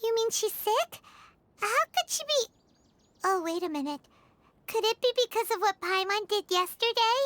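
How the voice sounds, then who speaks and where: A woman voicing a tiny, childlike, earnest female fairy companion in a video game, with a squeaky, openly expressive delivery. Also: normal speed, no echo. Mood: surprised, worried. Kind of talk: scripted video game dialogue. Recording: music behind the voice.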